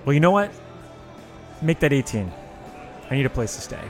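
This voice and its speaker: A man playing a guarded, quietly curious drifter, terse and dry, in a scripted audio drama. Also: mid-range voice, sing-song, normal speed, soft background music, close to an everyday voice.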